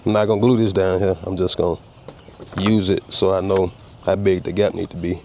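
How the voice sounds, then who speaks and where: Low-voiced man with a head cold. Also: flat delivery, brisk, a little mellow, a little hiss or hum. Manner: easygoing, talks while working, explains each tool. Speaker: custom car restorer demonstrating a repair. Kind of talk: casual step-by-step how-to narration. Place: outdoor workshop.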